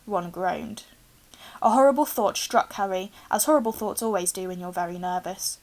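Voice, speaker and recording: A young woman reads a storybook aloud in an expressive narrating style. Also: medium pitch, moderate pace. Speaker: reader, teacher. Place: small room indoors with bookshelves.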